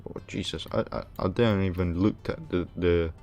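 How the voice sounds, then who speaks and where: A man from Romania hosting a casual gaming livestream, thinking aloud in a halting, hesitant way. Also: deep voice, fairly even intonation, a little mellow, faint music behind. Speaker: livestream host playing a game.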